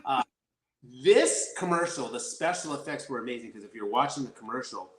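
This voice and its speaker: A man telling stories as a guest actor in a casual interview, rambling and energetic with many restarts. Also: mid-range voice, moderate pace. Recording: roomy echo.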